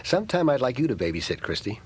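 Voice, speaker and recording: A man playing a mild, eager-to-please American grown-up in a children's audio drama, with a husky voice and gentle, hesitant manner. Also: mid-range voice, big pitch swings, fast, slight background noise, lightly acted. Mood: friendly, awkward.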